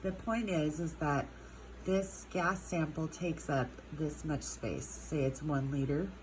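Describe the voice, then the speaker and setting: Congested woman teaching slowly, noisy recording. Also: low voice, a little mellow. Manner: unhurried, many ums, drawn-out words. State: stuffed-up nose, congested. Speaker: chemistry teacher. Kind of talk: recorded classroom lesson.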